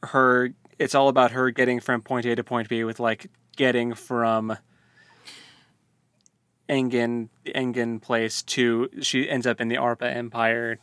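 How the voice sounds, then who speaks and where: A man's voice: mid-range voice, level tone, measured pace, fairly bright tone, clear, resonant tone, dry recording, clean studio sound. A man co-hosting a casual book discussion podcast, hesitant and full of restarts as he talks through a story. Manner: hesitant, many fillers and restarts. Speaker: podcast co-host.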